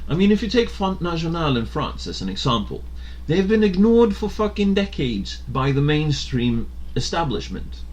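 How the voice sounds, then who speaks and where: A man's voice: a little high, big pitch swings, normal speed, a little mellow. A young man from Sweden hosting a casual podcast chat, talking bluntly, swearing freely, and hammering his points home.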